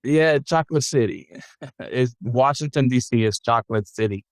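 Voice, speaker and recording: A man from the Dominican Republic, a podcast guest who speaks in a smooth, resonant voice at an everyday pitch. He talks thoughtfully, stopping often with ums and restarting his sentences. The sound is close and dry, with no room echo. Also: moderate pace. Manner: thoughtful, many ums and self-corrections. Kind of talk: casual interview, switching between English and Spanish.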